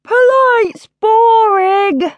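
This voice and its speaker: Storybook brat: woman voicing a squeaky, sky-high little princess, cheeky and rude, close dry sound. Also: wildly swooping pitch, moderate pace, no echo. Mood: grumpy. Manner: bratty, shrill and defiant.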